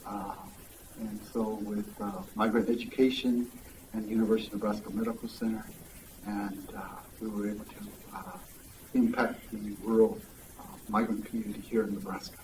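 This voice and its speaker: A man speaking haltingly on a conference panel, pausing often on fillers. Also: on the low side, little pitch movement, darkish tone, a little hiss or hum. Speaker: coalition member, panelist. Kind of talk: panel discussion. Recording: echoey room from a distant mic.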